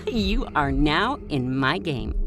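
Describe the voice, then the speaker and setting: A woman acts out a gleeful madwoman who rules a cruel real-life game in a story. Her delivery is theatrical and taunting, with very animated pitch on the low side. Music plays clearly behind her. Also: takes it slowly, exaggerated voice. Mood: gleeful, menacing. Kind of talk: dramatized story dialogue.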